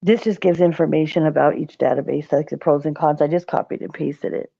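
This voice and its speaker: A woman co-hosting a casual online stream, reading text aloud haltingly with restarts and fillers. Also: low voice, fairly even intonation, creaky, dark tone, breathy edge, no echo, muffled recording. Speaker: co-host reading material aloud.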